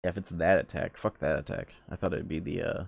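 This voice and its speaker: A man's voice: low voice, steady tone, quick pace, gravelly, slight background noise. A man giving casual, sweary live commentary on a video game, often muttering to himself. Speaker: game streamer. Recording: loud game music behind voice. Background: loud video game music and effects.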